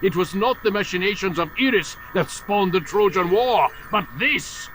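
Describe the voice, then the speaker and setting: An actor playing a calculating older master assassin in a video game, philosophical and condescending in a theatrical, oratorical way. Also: male voice, high-pitched, expressive, normal speed, fairly bright tone, faint music behind, low-quality recording. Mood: impassioned. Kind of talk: dramatic video game cutscene acting.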